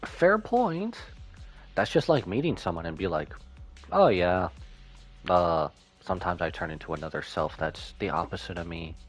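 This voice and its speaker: Lively, slangy male game streamer. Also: deep voice, moderate pace, soft background music. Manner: slangy, incredulous, joking. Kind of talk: casual live reaction commentary.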